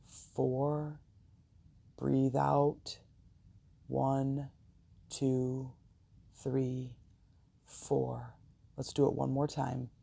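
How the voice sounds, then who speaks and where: A meditation host with a soft, gravelly voice, guiding listeners calmly and soothingly with deliberate pauses. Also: mid-range voice, no echo. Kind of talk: guided meditation and affirmations.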